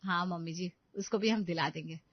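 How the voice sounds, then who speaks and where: A woman's voice: lowish voice, fairly quick, no echo, muffled recording, natural voice. A woman voicing a kindly, coaxing middle-aged wife and mother in a moral story. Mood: agreeable.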